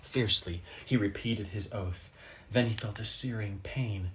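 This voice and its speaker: A man reading a children's story aloud expressively, with dramatic pauses for effect. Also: fairly low pitch, a bit bright, slight background noise. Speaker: storybook reader.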